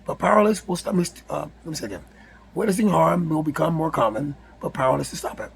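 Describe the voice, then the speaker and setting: A man with a raspy, hoarse voice, reading a numbered list aloud with casual asides, often stumbling and rereading words. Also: a little high, animated, quick pace, a little hiss or hum, low-quality recording. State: hoarse from yelling. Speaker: spiritual video host.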